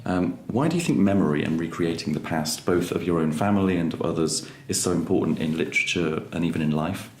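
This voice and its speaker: A man interviewing a guest, asking measured, polite questions. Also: sits low, a little mellow. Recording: echoey room.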